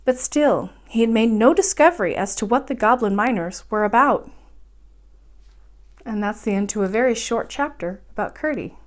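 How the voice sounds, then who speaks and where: Woman with a highish voice reading a kids bedtime book, expressive, stresses words for drama. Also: normal speed. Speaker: storyteller reading a children's book aloud.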